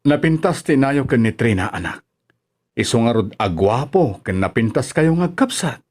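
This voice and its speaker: A man with an Ilocano Filipino accent playing a gentle, sorrowful single father in an audio drama. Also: medium pitch, expressive, moderate pace, close to an everyday voice. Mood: sad, tender. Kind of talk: amateur radio-style drama acting.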